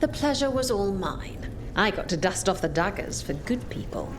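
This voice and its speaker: A woman's voice: medium pitch, big pitch swings, moderate pace, noisy background, lightly acted. An actress playing a swaggering, playful veteran adventurer in a fantasy video game, teasing as she talks.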